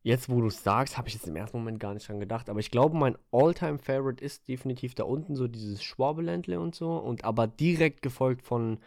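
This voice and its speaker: A man's voice: mid-range voice, brisk, vocal fry, hardly any pauses, darkish tone, dry recording, clean studio sound. A man co-hosting a podcast in casual chat, rambling and hesitant, often circling back with fillers. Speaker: podcast co-host.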